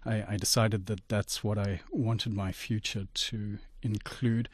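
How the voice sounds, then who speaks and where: A middle-aged man from Zimbabwe, a radio interview guest telling his life story in an articulate, measured way. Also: on the low side, level tone, breathy edge, no room sound.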